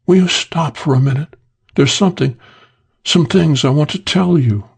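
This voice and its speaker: A young woman suspect pleads softly in a wheedling falsetto, as a male audiobook narrator puts her on. Her voice sits well above the reader's own and comes across gentle and a touch timbre-shifted. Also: normal speed, vocal fry, breathy edge, heavily acted. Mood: pleading.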